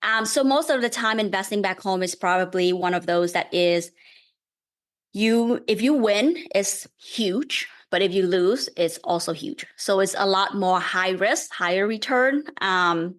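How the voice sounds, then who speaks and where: Brief: woman in her 30s, Vietnamese accent, mid-pitched, patient and friendly, unpacks ideas step by step. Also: unhurried. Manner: friendly, thoughtful, explains things step by step. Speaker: guest real estate investor. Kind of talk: casual podcast interview.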